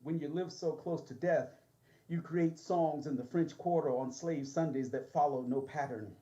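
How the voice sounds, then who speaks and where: A man's voice: on the high side, moderate pace, warm tone. A man performing spoken word poetry in a declamatory manner, heard as a video played back at a coffee shop. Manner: declamatory, rising dramatically. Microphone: played through a TV monitor. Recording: dull video playback over speakers.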